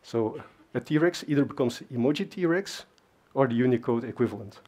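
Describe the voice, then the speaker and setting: Guy on a conference stage, husky voice, chatty tech talk with headset mic. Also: mid-range voice, lively intonation, normal speed, darkish tone. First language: Dutch. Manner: conversational, many fillers and asides. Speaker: conference speaker. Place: large dark conference stage.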